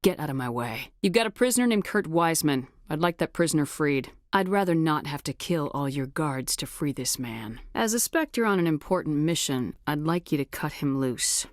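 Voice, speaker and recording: A commanding military woman from a sci-fi game. Her voice sits fairly low, and her delivery is firm, clipped and no-nonsense. The sound is dry and studio-clean, with very little room. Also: no room sound. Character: tough, commanding female military commander in space. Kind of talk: video game dialogue lines.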